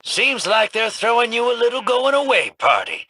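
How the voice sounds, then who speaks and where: A man's voice: very high voice, wildly swooping pitch, moderate pace, sharp tone, a little hiss or hum, heavily acted. An actor playing a cocky, roguish male space scavenger in a video game, drawling with a teasing manner. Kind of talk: video game character dialogue.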